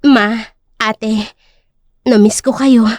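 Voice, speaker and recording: A woman playing a humble, long-neglected younger sister in an audio drama, earnest, pleading and soft-hearted. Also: a little high, fairly even intonation, drawn-out words, dry recording. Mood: hurt, longing.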